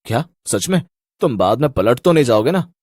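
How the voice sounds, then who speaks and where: A man's voice: medium pitch, big pitch swings, fairly quick, darkish tone, dry recording, studio quality, voice pushed down. A man voicing a cocky skeptic who scoffs and boasts in a Hindi cartoon story. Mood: scoffing.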